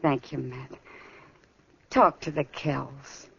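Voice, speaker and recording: A woman's voice: rumbling low, steady tone, slow pace, creaky, husky, no echo, full character voice. Muffled old radio, worldly western woman. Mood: grateful. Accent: American. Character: worldly, caring frontier woman confiding in a marshal. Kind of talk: 1950s American radio drama acting.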